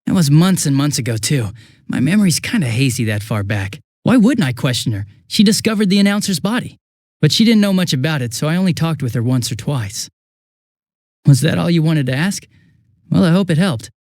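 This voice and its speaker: A man voicing a young police detective in a video game, affable and easily flustered, with a casual, hesitant and excitable way of talking. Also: fairly high pitch, a little fast, no echo, studio quality. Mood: evasive, casual. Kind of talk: English video game voice acting.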